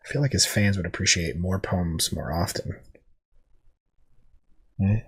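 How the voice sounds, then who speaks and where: A man's voice: low voice, measured pace, a bit bright, slightly rough. A man co-hosting a movie reaction, tossing off wry, casual asides.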